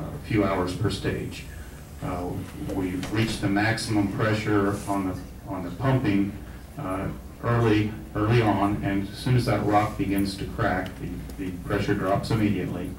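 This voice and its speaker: A man answering audience questions on drilling at a public meeting, steady with many "uh" fillers. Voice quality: low, flat, many fillers. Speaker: panel speaker on gas drilling. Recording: echoey hall, far mic, noisy.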